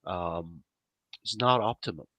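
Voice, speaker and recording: Arabic-speaking guy explaining stuff on a podcast, keeps saying right?, crisp bright voice, no room echo. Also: sits low, unhurried. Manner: thoughtful, explains step by step, checks in with "right?". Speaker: podcast panelist. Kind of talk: casual podcast chat.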